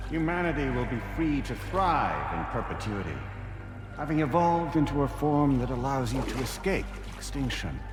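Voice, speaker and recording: A man playing a calm, coldly paternal artificial intelligence in a video game, speaking in formal, measured, grandiose speeches over music. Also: mid-range voice. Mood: serene, assured. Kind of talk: cinematic video game voice acting. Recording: music behind the voice.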